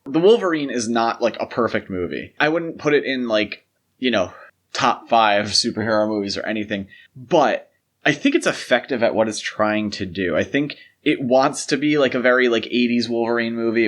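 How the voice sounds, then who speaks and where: A male podcast co-host talks through films in a casual, explanatory manner. His voice sits at an average pitch, and his phrasing is loose and conversational, peppered with filler words. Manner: conversational, explanatory, uses "like" and "you know" often. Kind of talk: casual film discussion podcast.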